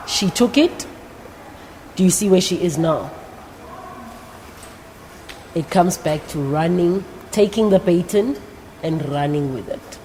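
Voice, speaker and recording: Low-voiced South African woman hosting, echoing hall. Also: expressive, slow, long pauses, mellow. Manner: encouraging, addresses the audience directly. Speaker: interview host. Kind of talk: live career talk show interview before young guests. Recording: echoing hall with faint background noise.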